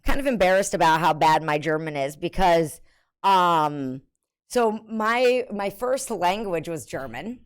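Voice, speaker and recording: An American woman chats casually on a live stream, answering her chat in a rambling, self-deprecating way. Her voice is smooth and a little low for a woman, and she fills pauses with ums and quick laughs. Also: expressive. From United States.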